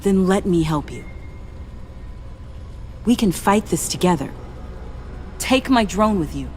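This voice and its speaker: A woman's voice: lowish voice, lively intonation, slow, darkish tone, faint music behind. An actress playing an earnest, determined young woman in a video game, speaking with intense, direct emotion. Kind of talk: dramatic video game acting.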